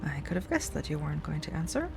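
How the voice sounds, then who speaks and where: A woman playing an obsessive, sweetly pleading ex-girlfriend in an intimate audio roleplay, speaking softly and haltingly. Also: deep voice, big pitch swings, vocal fry, full character voice. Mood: nervous, resigned. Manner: soft, halting, wistful, with sighs and nervous little laughs. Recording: music under the voice.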